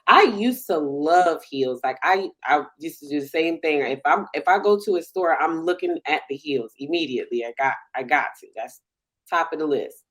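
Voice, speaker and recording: Low-pitched woman hosting a casual chat. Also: animated, normal speed, a bit bright. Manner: folksy, colloquial, frequent backchannels. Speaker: podcast host.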